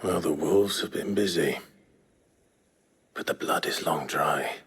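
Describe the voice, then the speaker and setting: An actor playing a grave, reserved wanderer in a video game, speaking tersely and solemnly, at times breathily. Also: male voice, extremely low pitch, takes it slowly, fairly bright tone, husky, full character voice. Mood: somber. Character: grave, reserved male fantasy wanderer on an errand. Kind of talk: video game cutscene acting.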